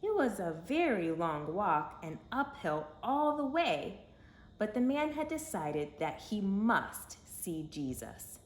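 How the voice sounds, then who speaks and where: A woman reading a children's story aloud in an expressive, dramatic way, with emphatic phrasing for young listeners. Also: mid-range voice, takes it slowly. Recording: echoey room with faint background noise.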